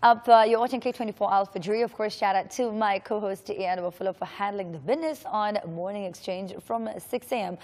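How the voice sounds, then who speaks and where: A woman's voice: mid-range voice, fairly quick, few pauses, a little mellow. A woman with a Kenyan accent hosting a casual morning TV interview, chatty and teasing, with exclaimed reactions. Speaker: TV show host. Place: TV studio.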